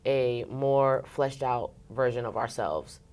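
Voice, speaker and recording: A woman's voice: a bass voice, slow, a little mellow. A woman chatting casually and expressively as an interview guest, with slangy turns of phrase. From Brooklyn, New York. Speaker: interview guest, radio host.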